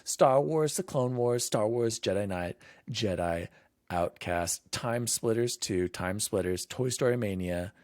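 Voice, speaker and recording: A man's voice: fairly low pitch, a little slow, a little mellow, no echo, clean studio sound. A man hosting a gaming podcast, chatting casually and reading out news lists in an informal, conversational way. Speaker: podcast host.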